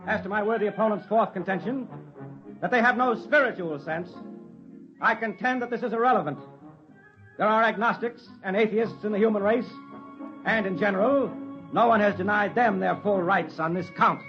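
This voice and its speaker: An actor playing a forceful, argumentative courtroom lawyer in a 1950s radio drama, formal and oratorical. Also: male voice, high-pitched, moderate pace, natural voice. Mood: insistent. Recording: muffled vintage radio recording. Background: music.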